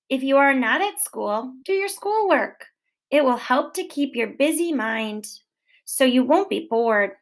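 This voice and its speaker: Woman, teacher, high voice, children's read-aloud, gentle, expressive. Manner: gentle, expressive storybook reading with small self-corrections. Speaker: teacher reading a picture book aloud.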